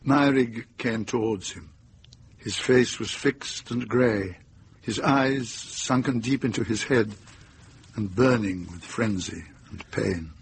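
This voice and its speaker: A man giving scripted literary narration for a radio drama in a measured, atmospheric manner. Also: fairly low pitch, gravelly, a little hiss or hum.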